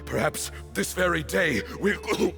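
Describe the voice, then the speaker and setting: A man's voice: on the high side, animated, slow pace, vocal fry, music in the background, full character voice. An actor playing a proud, bitter old grandfather who leads a fallen temple, grave and grandiose in a dramatic game scene. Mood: exultant. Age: older.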